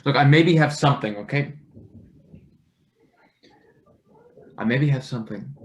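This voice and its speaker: A man playing an anxious, evasive young intern in a satirical play reading, speaking haltingly with stammers. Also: medium pitch, lightly acted. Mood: cornered, tense. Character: anxious young male unpaid intern, evasive, easily pressured. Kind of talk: table read of a satirical stage play.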